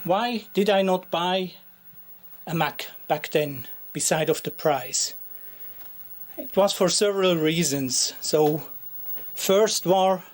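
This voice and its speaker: A Swiss man with a Swiss German accent, a native Swiss German speaker, showing his retro computer collection in a slow, deliberate, pausing way. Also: on the high side. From Switzerland. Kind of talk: show-and-tell narration.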